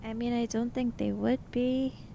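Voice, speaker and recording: Creaky, higher-voiced questioning woman. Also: steady tone, a little slow, warm tone, a little hiss or hum. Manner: questioning, skeptical. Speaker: tabletop roleplaying player. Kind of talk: improvised in-character tabletop game conversation.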